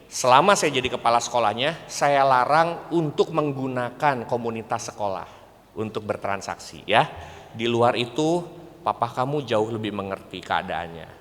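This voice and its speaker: A man's voice: medium pitch, a bit bright. A man with a West Javanese Indonesian accent giving a church parenting seminar talk in a conversational, teacherly way, often checking in with his listeners. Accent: Indonesian with West Javanese (Sundanese) colouring. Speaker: speaker at a church parenting seminar, school principal. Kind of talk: public seminar talk with preaching. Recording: echoey hall with long reverb.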